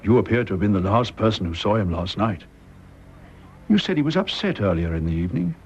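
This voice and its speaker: An actor playing a stern, restrained British army major in a radio drama, formal and deliberate. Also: male voice, on the low side, expressive, a little mellow. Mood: grave, probing. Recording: muffled radio recording, clear background noise.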